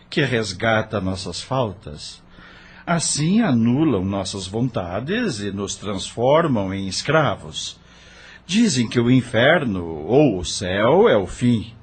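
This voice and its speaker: Tender middle-aged doctor father, low husky voice, slow and very expressive. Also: full character voice. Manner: soft-spoken, tender, earnest. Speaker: father in radio drama. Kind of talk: audio drama acting.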